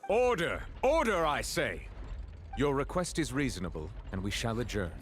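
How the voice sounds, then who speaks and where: A man's voice: mid-range voice, big pitch swings, normal speed, slight background noise, natural voice. A man playing a stern judge in an anime game, speaking with formal, declamatory authority. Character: stern, commanding male judge presiding over court.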